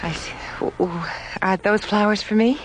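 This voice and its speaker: An actress playing a guarded, slightly flustered space station colleague in a radio drama, conversational and hesitant. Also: female voice, mid-range voice, steady tone, normal speed, noisy background, close to an everyday voice.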